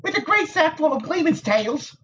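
A man reading an audiobook voices a stubborn, sharp-tongued young village woman with defiant retorts. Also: very high-pitched, animated, brisk, recorded from a distance, highs cut off, a little hiss or hum, voice pushed up.

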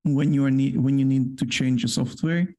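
A man's voice: medium pitch, steady tone, moderate pace, mellow. A man with a Polish accent, a non-native English speaker, co-hosting a casual work podcast and explaining at length with many fillers. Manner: rambling, filler-heavy explaining. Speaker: podcast co-host. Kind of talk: casual chat.

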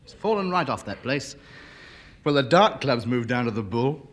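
A man's voice: mid-range voice, lively intonation, moderate pace. A man co-hosting a podcast, reading lines from an old radio comedy script aloud and acting them out. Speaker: podcast co-host.

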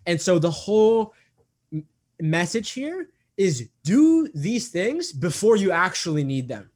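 A man's voice: high voice, very animated, a little slow, darkish tone. A man in his twenties talking earnestly in an interview, repeating himself often and filling gaps with "um" and "like". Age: 20s. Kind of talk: casual interview talk.

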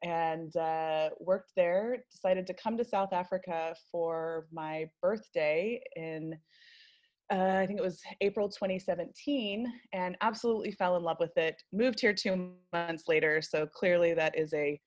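A woman's voice: lowish voice, a little slow, muffled recording. A woman in her thirties with an American accent, chatting casually as an interview guest with plenty of ums and uhs. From United States. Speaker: wine industry guest.

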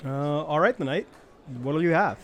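A man's voice: on the high side, moderate pace, natural voice. A man playing an easygoing human tavern keeper in a comedic fantasy audio drama, talking in a casual, hesitant, incredulous way. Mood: friendly, bemused.

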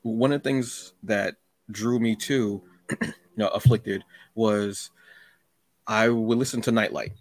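A man hosting a podcast interview, chatting informally in halting phrases with repeats. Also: on the low side, steady tone, dry recording. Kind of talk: casual chat.

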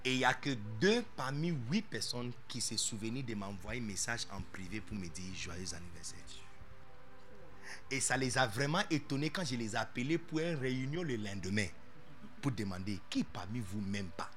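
A man preaching a sermon as pastor, animated and playful, dropping English interjections into his talk. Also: medium pitch, moderate pace, a little hiss or hum.

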